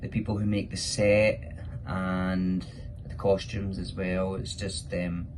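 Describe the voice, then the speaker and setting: Casting: Scottish male actor, deep voice, casual and enthusiastic Q&A chat, noticeable background noise. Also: little pitch movement, slow. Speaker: pantomime actor. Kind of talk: casual spoken question-and-answer for children.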